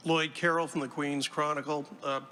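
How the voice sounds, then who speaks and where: A man's voice: fairly high pitch, flat delivery, moderate pace, bright, forceful tone. A male reporter asking a draft pick chatty, joking questions at a press conference. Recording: reverberant hall, faint hum.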